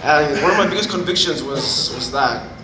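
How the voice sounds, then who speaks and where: A man in his twenties from Fiji with a Fijian accent, giving a personal testimony to a group in an earnest, halting way. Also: on the high side, expressive. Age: 20s. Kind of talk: personal testimony, informal public speaking. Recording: roomy, distant mic, noticeable background noise.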